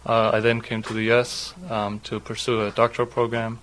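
A man in his twenties from southwest Germany, a native German speaker with a German accent, speaking in a halting, explanatory way. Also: lowish voice, monotone, fairly bright tone, a little hiss or hum. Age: 20s. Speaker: interviewee, doctoral student. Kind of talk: read passage, then unscripted personal account.

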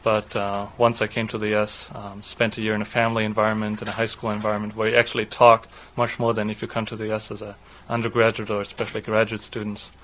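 Low, flat-toned young German man. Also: fast talker, hardly any pauses, slight background noise, rough recording. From southwest Germany. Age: 20s. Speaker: interviewee, doctoral student. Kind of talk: read passage, then unscripted personal account.

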